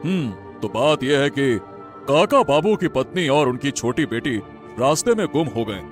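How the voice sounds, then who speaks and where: A man playing a kindly, courteous king in a storybook drama, speaking in a gentle, deliberate, formal way. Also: mid-range voice, very animated, faint music behind. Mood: gracious, thoughtful.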